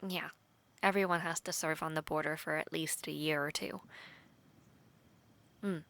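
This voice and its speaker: A woman's voice: low-pitched, fairly even intonation, vocal fry, fairly bright tone. A young woman voice acting a playful, teasing young soldier-to-be in an intimate, conversational audio roleplay. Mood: thoughtful, earnest. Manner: teasing, chuckling, conversational with sighs and pauses.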